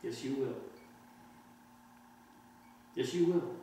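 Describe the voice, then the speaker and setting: A man's voice: medium pitch, takes it slowly, dark tone, natural voice. A middle-aged man in a staged play reading, playing a kindly, hesitant father. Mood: gentle concern. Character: kindly, hesitant tourist dad, a lawyer. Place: wood-panelled mansion room, indoors. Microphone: distant camera microphone. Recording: very echoey room, distant mic, faint hiss.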